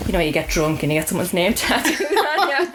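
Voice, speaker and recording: Lower-voiced Irish woman telling a story. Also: big pitch swings, fairly quick, background noise. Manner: wry, understated, anecdotal. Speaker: podcast guest telling a personal story. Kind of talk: casual conversational storytelling.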